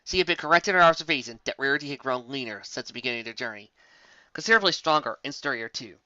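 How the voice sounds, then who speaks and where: A woman's voice: very deep voice, expressive, rapid-fire, sharp tone, no room sound. A woman narrating a fantasy story in a dramatic reading style, on a slightly dull recording. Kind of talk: dramatic reading of fan fiction.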